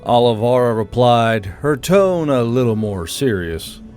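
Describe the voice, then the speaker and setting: Man, story narrator, middle pitch, scripted fantasy reading, soft music behind. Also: animated, a little slow.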